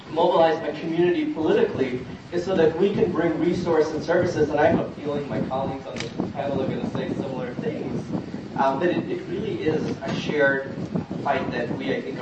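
A man from Guyana talks to a room as a community panelist, in a conversational and direct way. His somewhat high voice comes through heavy echo and loud background noise, picked up from far away. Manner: conversational, addresses the audience directly. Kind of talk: informal public panel speech. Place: large echoey indoor event room. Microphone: distant microphone. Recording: very echoey, noisy, muffled, distant room recording.

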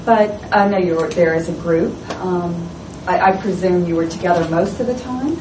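Woman asking a question from the crowd, kinda halting, lowish voice, echoey room. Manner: hesitant, searching for words. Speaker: audience member asking a question. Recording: echoey room, distant mic, noisy.

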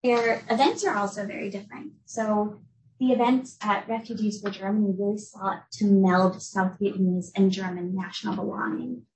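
A young woman from the United States giving an academic talk as a researcher, explaining in a clear, measured way. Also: medium pitch, steady tone, a little mellow, slight background noise. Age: young adult. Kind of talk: academic lecture. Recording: echoey room, voice from a distance.

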